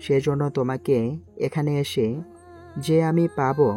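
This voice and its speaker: A woman voicing a young man, a disguised prime minister, speaking softly and earnestly in an amateur audio drama. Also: rumbling low, fairly even intonation, measured pace. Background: faint background music.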